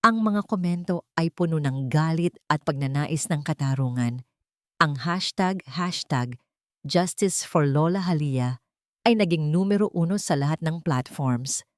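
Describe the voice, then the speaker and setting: Woman narrator for an audio drama, low voice, dry close booth sound, earnest and dramatic. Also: measured pace, no room sound. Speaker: storyteller.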